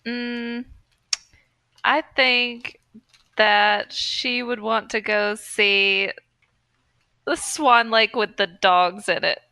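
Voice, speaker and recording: A woman takes part in a tabletop roleplaying game with casual, brief replies. Her voice is very clear and bright. She takes her time, speaking slowly. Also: fairly high pitch, fairly even intonation, no echo. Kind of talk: casual chat.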